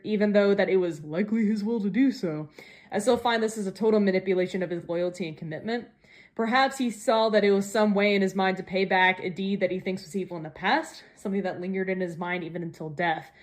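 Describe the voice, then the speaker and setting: A woman delivering a prepared fan theory message in a playfully theatrical, mock-dramatic manner. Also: mid-range voice. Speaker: podcast listener sending a voice message.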